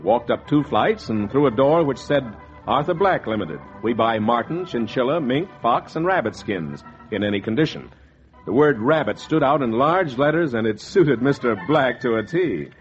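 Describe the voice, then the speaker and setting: A man as a slick, animated 1940s radio schemer. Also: medium pitch, normal speed, natural voice. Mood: wry, self-satisfied. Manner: wry, animated storytelling. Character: slick, wry, scheming fur speculator telling his tale. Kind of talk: 1940s hardboiled radio narration and dialogue. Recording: dull 1940s radio recording, music behind.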